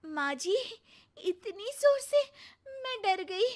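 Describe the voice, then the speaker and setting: A woman's voice: extremely high pitch, very animated, takes it slowly, voice pushed up, heavily acted. A woman voicing a worried, dutiful young daughter-in-law in a cartoon family story, anxious and pleading. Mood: startled.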